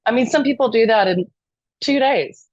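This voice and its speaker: A woman's voice: on the high side, normal speed, darkish tone, dry recording, muffled recording. A middle-aged woman from East Texas with a Texan accent, talking as a podcast guest, chatty and self-deprecating with frequent ums. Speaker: lobbyist guest. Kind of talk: podcast interview.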